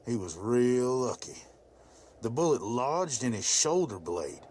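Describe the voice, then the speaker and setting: A man's voice: medium pitch, very animated, measured pace, a little mellow, a little hiss or hum. A man playing an older, devout, plain-spoken rural farmer in a motion comic. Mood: calm, steady. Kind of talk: dramatized motion comic dialogue.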